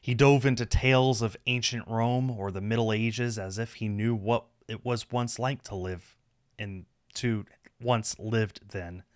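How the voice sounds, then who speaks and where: A man's voice: on the low side, steady tone, a little slow, no echo, clean studio sound. A man reading story narration aloud from a script. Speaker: streamer reading a visual novel aloud.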